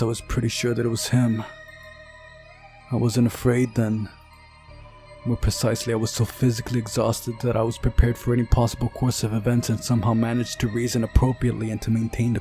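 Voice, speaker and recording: A man reading a visual novel aloud as a dramatic narrator, with soft guitar music behind him. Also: mid-range voice, gravelly. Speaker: game playthrough narrator.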